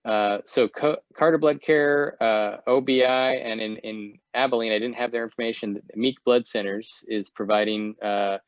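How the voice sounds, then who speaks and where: A middle-aged American man giving a slide presentation over a video call, speaking in a halting, hesitant way. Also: medium pitch, steady tone, no room sound. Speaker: guest speaker, volunteer presenter. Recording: muffled, dull video-call audio.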